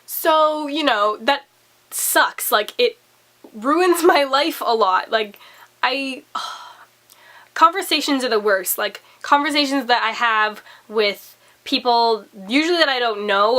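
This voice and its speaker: A young woman talking casually to camera, her voice still hoarse and recovering after being sick, rambling and confessional with lots of fillers. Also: a little high, measured pace, sharp tone. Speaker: vlogger.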